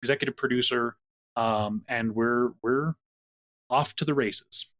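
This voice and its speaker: Guest, adult man, mid-pitched, relaxed storytelling about his work. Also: unhurried. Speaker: showrunner and executive producer. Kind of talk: casual interview chat.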